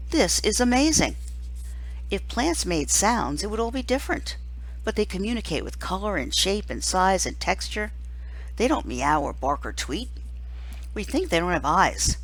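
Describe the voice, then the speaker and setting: A woman reading a first-person children's novel aloud like a teacher, expressively, with deliberate emphasis and dramatic pauses. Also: medium pitch, husky, low-quality recording.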